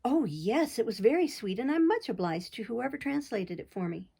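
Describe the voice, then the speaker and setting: A sweet, modest young governess from a storybook, acted by a woman reader. She speaks innocently and earnestly. The voice has a creaky, husky edge. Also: on the high side, lively intonation, normal speed, dark tone, heavily acted. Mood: bashful. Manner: bashful, innocent, hearty.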